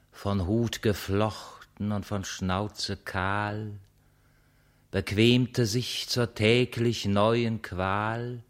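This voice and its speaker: A man playing a grave poet who recites mock-heroic verse in a measured, declamatory manner for a radio play. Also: on the low side.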